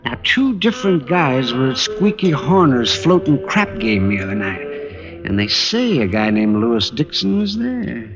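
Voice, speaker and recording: An actor playing a mean, whining underworld informer in an old-time radio drama, grumbling in streetwise slang. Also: male voice, mid-range voice, sing-song, a little slow. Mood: complaining. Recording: muffled old radio recording, music behind.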